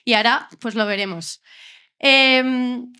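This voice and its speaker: A woman's voice: on the high side, slow pace, bright, forceful tone, smooth. A young woman from Ciudad Real with a La Mancha Spanish accent, giving a jokey, informal science talk to a live bar audience and often checking in with "¿vale?". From Spain, Ciudad Real. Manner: chatty, jokey, keeps asking "¿vale?". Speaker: doctoral researcher giving a public talk.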